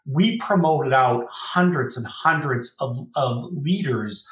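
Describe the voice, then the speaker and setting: A man's voice: medium pitch, slow pace, lo-fi sound. Boston-accented man telling stories in an echoey room. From Boston, USA. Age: middle-aged. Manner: animated, chuckles through anecdotes. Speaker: podcast guest. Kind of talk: casual interview storytelling. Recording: roomy echo.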